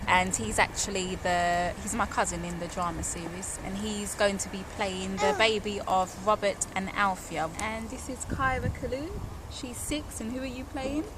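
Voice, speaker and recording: Woman, medium pitch, casual unscripted introduction, clear ambient noise, vehicle sounds. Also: fairly even intonation, normal speed, hardly any pauses. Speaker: radio drama actress.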